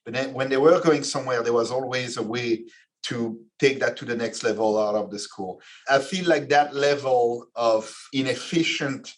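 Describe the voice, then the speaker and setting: A man from Belgium in his forties, answering questions as a podcast guest in a measured way, with frequent restarts and fillers. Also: medium pitch, low-quality recording. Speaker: guest, finance executive.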